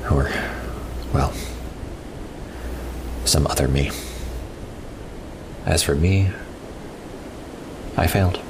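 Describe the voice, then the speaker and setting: Audio drama monologue, male actor: resigned, sardonic man, rock-bottom pitch, rumbling noise underneath. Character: resigned, bitter, fatalistic man addressing his listener. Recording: noisy track with low rumble.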